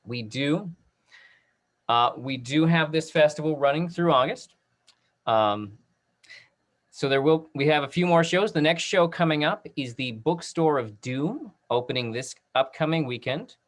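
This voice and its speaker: A man hosting an informal virtual Q&A and making announcements, halting and apologetic as he repeats and restarts his phrases. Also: on the high side, animated. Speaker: host moderating an audience Q&A. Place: online video call.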